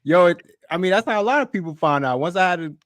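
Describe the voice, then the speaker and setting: Dry, slightly high man. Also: animated, fairly bright tone, no echo. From Boston. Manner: agreeable, quick interjections, slang. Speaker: podcast co-host. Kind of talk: casual podcast banter.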